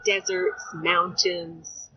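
A woman's voice: on the low side, level tone, drawn-out words, bright, forceful tone, husky, no room sound, dull audio. A woman guest whose first language is Spanish, chatting in a casual interview and often laughing at herself. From United States. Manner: chatty, laughing, self-deprecating.

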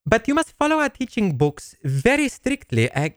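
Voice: mid-range voice, big pitch swings, normal speed, dry recording, studio quality, lightly acted. An actor playing a flustered, polite foreign manager of a language school, hesitant and stammering in a comedy drama. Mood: businesslike.

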